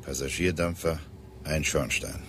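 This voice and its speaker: An actor playing a calm, terse, commanding ship's captain in a German radio drama. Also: male voice, extremely low pitch, unhurried, slight background noise.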